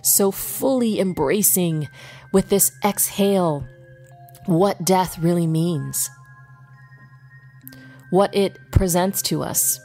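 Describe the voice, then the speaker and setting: A woman leading a guided meditation in an unhurried way, pausing between short phrases. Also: fairly low pitch, dark tone, soft background music.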